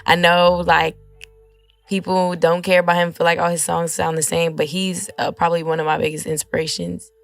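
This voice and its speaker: A woman singer with a naturally deep voice and a light rasp, chatting casually and relaxed as an interview guest, with plenty of fillers. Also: moderate pace, faint music behind.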